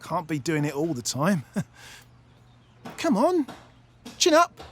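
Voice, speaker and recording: A smug working-class patriot, acted by a man in a satirical audio play. He is colloquial and breezily dismissive, with a high, bouncy voice. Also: huge pitch swings, slow pace, fairly bright tone, a little hiss or hum, full character voice. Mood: smugly cheerful.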